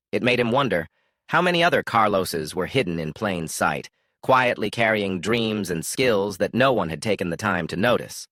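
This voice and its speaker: A man's voice: fairly low pitch, a bit bright, breathy edge, no echo, clean studio sound. A man narrating a scripted story in a dramatic storytelling manner.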